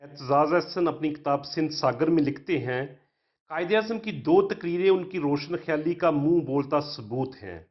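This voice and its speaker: Higher-pitched Pakistani man narrating, dull sound. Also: expressive, normal speed. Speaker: history channel narrator. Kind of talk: scripted educational narration.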